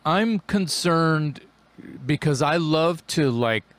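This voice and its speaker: A man hosting a casual interview, telling quick, animated stories about playing live. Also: on the high side, no echo. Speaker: interview host, singer.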